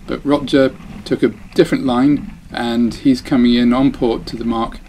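A man's voice: mid-range voice, steady tone, moderate pace, mellow, slight background noise, low-quality recording. A man narrating his own dinghy race, chatty and self-deprecating, often breaking off mid-phrase. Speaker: dinghy racing sailor. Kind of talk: voice-over commentary on race footage.

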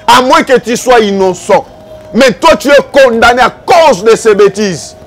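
A man's voice: extremely high pitch, lively intonation, unhurried, a bit bright, soft background music. A man with a Congolese accent preaching a fervent evangelical sermon, exhorting and accusing with repeated rhetorical questions.

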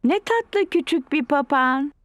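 A kindly old granny pig from a children's cartoon, high-voiced and doting. She speaks slowly and gently, with a lively sing-song swing, close and dry. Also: dry recording. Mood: fond, cheerful.

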